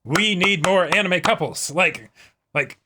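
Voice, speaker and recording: A man's voice: high-pitched, lively intonation, a little slow, bright, forceful tone, a little hiss or hum. A man co-hosting an anime reaction show in casual discussion, agreeable and given to repeating his own phrases. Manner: agreeable, often echoing and repeating himself.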